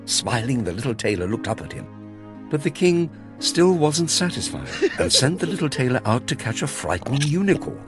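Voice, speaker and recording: A man narrating a children's fairy tale in an expressive, theatrical storytelling manner. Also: medium pitch, normal speed. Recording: music behind the voice. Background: music.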